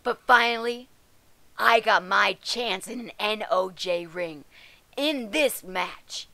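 A woman's voice: mid-range voice, takes it slowly, pushed and bright, dry recording. A woman voicing a brash, crude female pro wrestler, who tells her story with wry, irreverent asides. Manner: drawling, sarcastic asides. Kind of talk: scripted web-show monologue.

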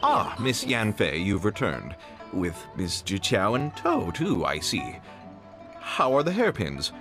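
A man voicing a courteous but excitable merchant in a video game, with a formal, theatrical manner. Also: fairly low pitch, takes it slowly, over music, full character voice. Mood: smooth, welcoming.

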